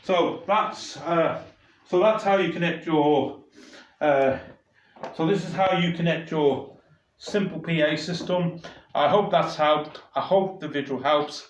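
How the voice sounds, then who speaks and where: A man's voice: on the high side, slow, slight background noise. A man, a DJ, giving a casual how-to demonstration while handling gear, rambling and restarting sentences as he goes. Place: room with a camera operator. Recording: roomy, slightly dull home video audio.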